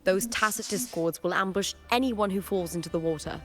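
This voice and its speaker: A woman's voice: medium pitch, brisk, lightly acted. A woman playing a confident, reflective young female gladiator in a video game, delivering scripted dialogue. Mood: cautioning. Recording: game audio under loud music.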